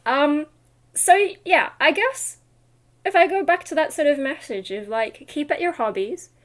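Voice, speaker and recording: A woman's voice: sits high, expressive, a little slow. A tired-sounding woman chatting in a casual, rambling vlog style, scattered and self-deprecating. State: tired, not fully awake. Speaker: vlogger and metal vocalist.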